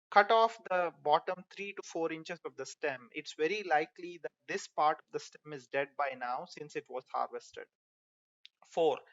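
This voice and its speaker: A man's voice: fairly high pitch, fairly bright tone, no room sound, muffled recording. A man from India hosting his own gardening video, walking viewers through numbered tips in a friendly, instructive way. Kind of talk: narrated gardening tutorial.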